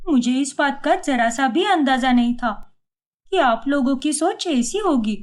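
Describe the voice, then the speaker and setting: A woman voicing an earnest young daughter-in-law in an animated family story, polite, pleading and protesting. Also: high-pitched, a little slow. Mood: hurt, pleading.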